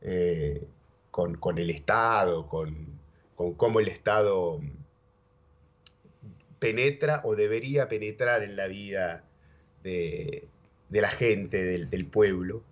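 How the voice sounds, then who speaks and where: A man's voice: low-pitched, slow pace, pauses often. A man with an Argentine accent, an interview guest telling stories in a rambling way, repeating words and tagging on little questions. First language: Spanish and English, bilingual. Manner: rambling, repeats words, keeps saying ¿viste?. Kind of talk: casual storytelling chat. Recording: dull lo-fi audio.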